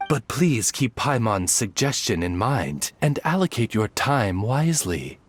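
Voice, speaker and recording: Posh-mannered game familiar, very formal and deferential, expressive delivery. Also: male voice, medium pitch, unhurried, slight background noise. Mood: deferential advising. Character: courtly, formal male familiar, devoted gentlemanly servant. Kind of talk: animated video game acting.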